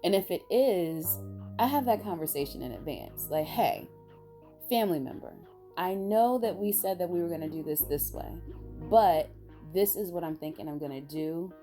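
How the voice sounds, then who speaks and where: A woman vlogger with a raspy voice, sounding tired, talking in a rambling, blunt and emphatic way. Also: fairly low pitch, animated, normal speed, mellow, soft background music. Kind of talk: casual opinion monologue.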